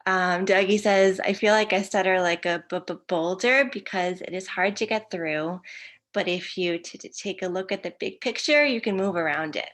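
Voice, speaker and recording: Woman moderator with an open stutter, mid-pitched, upbeat, laughs often, video call. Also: normal speed, a bit bright. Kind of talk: casual video-call chat.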